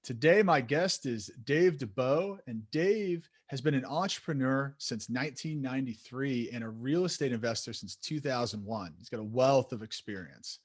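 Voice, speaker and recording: An upbeat man hosts a podcast and greets his listeners warmly. His voice has a middle pitch for a man. He talks with his guest over a video call. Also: moderate pace, hardly any pauses. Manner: upbeat, friendly interviewer. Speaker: podcast host. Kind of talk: interview hosting.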